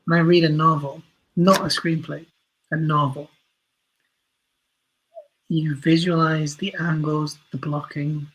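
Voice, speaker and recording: A man's voice: on the high side, fairly bright tone, breathy edge, low-quality recording. A man speaking as a podcast guest in a casual, reflective way, earnest and often rephrasing himself. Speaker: podcast guest, writer and director.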